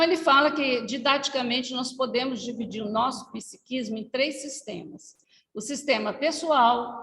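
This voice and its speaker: A Brazilian woman giving a gentle, explanatory talk to a congregation, with light asides. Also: on the high side, slight background noise. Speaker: spiritist lecturer. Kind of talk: unhurried didactic talk to a congregation. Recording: echoey hall with long reverb.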